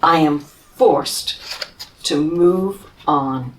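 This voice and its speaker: A woman reading a children's storybook in the voice of a humble, tired male camel who tells his own tale slowly and tenderly. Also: deep voice, recorded from a distance, a little hiss or hum, pitched below the performer's own voice. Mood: weary. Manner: slow, tender storybook telling with lively rises.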